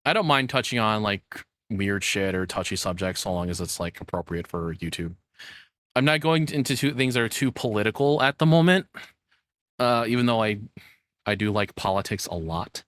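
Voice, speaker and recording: A man's voice: lowish voice, lively intonation, moderate pace, fairly bright tone, dry recording, studio quality. A man chatting casually as a livestreamer, rambling and swearing in an informal way. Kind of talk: casual reaction stream chat.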